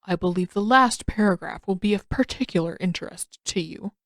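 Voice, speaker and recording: A woman voicing a grave older uncle in an audio story, speaking in a calm, formal and measured manner. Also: mid-range voice, dry recording, studio quality, natural voice. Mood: grave, steady.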